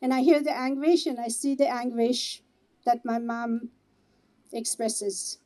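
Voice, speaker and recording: A middle-aged woman with an Israeli accent gives an earnest, halting speech as an organizer at a vigil. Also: a little high, little pitch movement, mellow. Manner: earnest, halting, with restarts and pauses.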